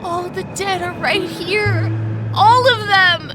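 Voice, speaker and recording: A small, terrified fantasy creature from an anime game. The voice is high and tearful, whimpering in panic with dragged-out words. Loud music swells behind it. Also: female voice, fairly even intonation, extremely slow, exaggerated voice. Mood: panicked.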